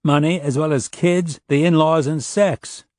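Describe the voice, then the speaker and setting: A man's voice: fairly high pitch, steady tone, a little slow, a little mellow, no room sound, clean studio sound, voice pushed up, natural voice. A man voicing a defensive, suspicious young man being questioned by police, curt and guarded, in an audiobook. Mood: guarded, conceding. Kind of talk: audiobook character dialogue.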